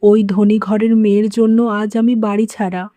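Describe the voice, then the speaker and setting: A woman's voice: medium pitch, flat delivery, normal speed, mellow, no echo, close to an everyday voice. A woman playing a remorseful, wounded elderly mother-in-law in a cartoon moral story, speaking in a plaintive, self-blaming way. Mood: remorseful, pleading.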